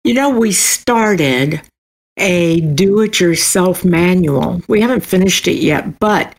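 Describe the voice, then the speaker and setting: A woman's voice: on the low side, takes it slowly. A woman talking conversationally as an author being interviewed. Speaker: guest, self-published author.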